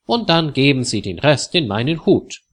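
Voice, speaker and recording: A man's voice: medium pitch, sing-song, normal speed, voice pushed up. A joking street musician, as a man puts him on. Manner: loud, playful.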